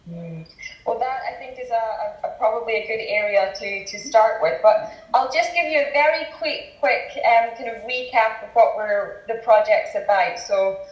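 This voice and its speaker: A woman with a Scottish accent interviewing a guest on a video call, hesitant with frequent fillers and quick bursts of speech. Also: on the high side, roomy sound, low-quality recording.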